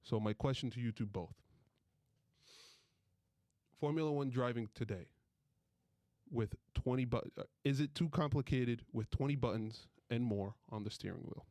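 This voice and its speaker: A man's voice: medium pitch, moderate pace, pauses often, warm tone, dry recording, clean studio sound. A man hosting a casual sports podcast with friends, talking in a playful, bantering way. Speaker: podcast host.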